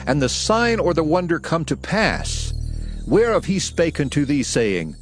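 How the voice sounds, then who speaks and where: A man's voice: on the high side, sing-song, unhurried. A man playing a solemn lawgiver preaching commandments, declaiming in a dramatized scripture reading with music behind him. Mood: stern, commanding. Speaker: scripture narrator.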